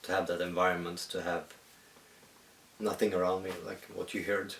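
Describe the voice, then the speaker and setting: Gravelly low-voiced Swedish man, echoey. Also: flat delivery, fairly bright tone. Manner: halting, thoughtful, many pauses. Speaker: musician. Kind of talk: reflective solo monologue. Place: at home in the countryside. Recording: echoey room, distant mic, faint hiss.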